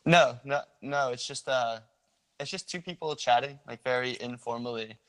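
A young man from the Philadelphia area talking informally as a guest, relaxed, with many ums. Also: mid-range voice, moderate pace. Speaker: guest sharing a meet-people project. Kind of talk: casual interview chat.

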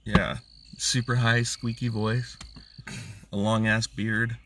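A man's voice: lowish voice, flat delivery, slow, a bit bright, far from the mic, a little hiss or hum. A man co-hosting a casual, boozy podcast chat, rambling in a laid-back way with plenty of swearing. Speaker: podcast co-host.

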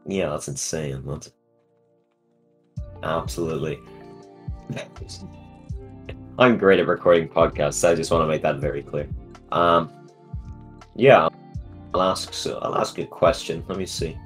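A young man hosting a casual podcast, joking and self-deprecating, with halting, stop-start delivery. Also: a bass voice, faint music behind. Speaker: podcast host. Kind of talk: casual chat.

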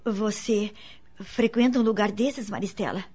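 A woman's voice: mid-range voice, measured pace, darkish tone, breathy edge. A woman with a Brazilian accent playing an anxious, insecure wife in a radio soap opera, earnest and formal in her melodramatic delivery. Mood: hesitant, curious. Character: anxious, insecure young wife and mother, pleading. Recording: dull lo-fi radio drama, music underneath.

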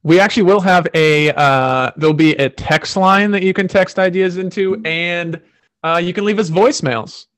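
A man's voice: sits high, moderate pace, fairly bright tone. A man hosting a podcast, talking loosely with lots of fillers and restarts. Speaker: podcast host. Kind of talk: casual podcast chat.

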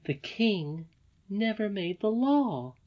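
A woman voicing a calm, wise, ageless grandmother figure from a storybook, in a measured, patient way. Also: mid-range voice, voice pushed up. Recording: dull lo-fi recording.

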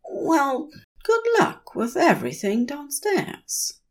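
A woman's voice: sits high, huge pitch swings, takes it slowly, pitched above the performer's own voice, heavily acted. A woman voicing a prim, petulant, old-fashioned male angel with a fussy, pouting manner in a fan fiction reading. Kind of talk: audio fan fiction dialogue.

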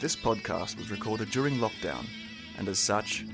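A man hosting a podcast, reading out a guest's bio in an even, measured way. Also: lowish voice. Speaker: podcast host. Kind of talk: reading an intro script. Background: guitar music.

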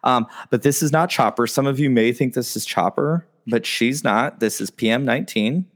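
A man hosting a podcast live stream in casual, excitable chat, rambling with lots of fillers. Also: medium pitch, normal speed. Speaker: podcast host.

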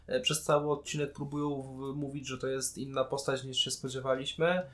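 A man's voice: mid-range voice, flat delivery, brisk. A man co-hosting a podcast, casually talking through and arguing his point of view. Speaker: podcast co-host reviewing a TV series. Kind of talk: casual discussion.

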